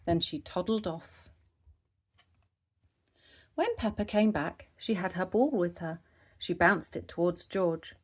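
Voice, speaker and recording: A woman narrates a picture book for young children in a slightly low voice. She takes her time, with lots of rise and fall in her expressive reading. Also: slow, pauses often. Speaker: storybook reader. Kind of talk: children's read-aloud narration.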